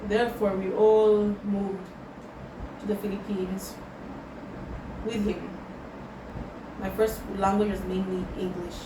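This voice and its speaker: A woman's voice: mid-range voice, level tone. Reflective young woman, distant roomy mic. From Tanzania. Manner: slow and thoughtful, mixing in Swahili words. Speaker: vlogger. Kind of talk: reflective personal vlog, answering questions. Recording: roomy sound from a distant mic with loud background noise.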